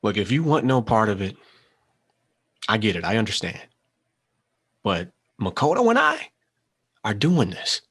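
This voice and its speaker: A male actor plays an earnest man recovering from gambling, worn down and ready to take a risk. He speaks in halting, confessional bursts with a very mobile pitch. It is dramatic table-read acting. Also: big pitch swings, fairly bright tone, breathy edge, full character voice. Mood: resigned, urging.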